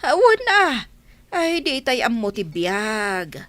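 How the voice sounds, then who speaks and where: An elderly village mother, insistent and coaxing, acted by a woman. She speaks at a middling pitch with very lively swings, recorded dry for a radio drama. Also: unhurried, no room sound. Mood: pleading.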